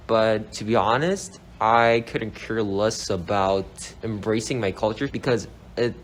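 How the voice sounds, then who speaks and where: A man whose first language is Korean, giving steady, direct interview answers in English with small grammar slips. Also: fairly low pitch, a little hiss or hum.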